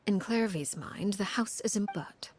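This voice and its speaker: A woman playing a cold, composed, menacing harbinger in a video game, telling things in a measured, formal, unemotional way. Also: medium pitch, a little mellow, lightly acted. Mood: cool, resolute. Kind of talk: dramatic storytelling in a video game.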